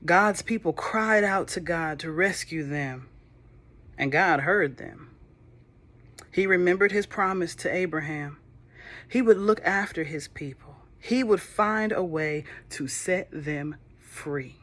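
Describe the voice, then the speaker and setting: A woman reading a children's Bible storybook aloud, with gentle, chatty, affectionate asides to the listening kids. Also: fairly low pitch, slow.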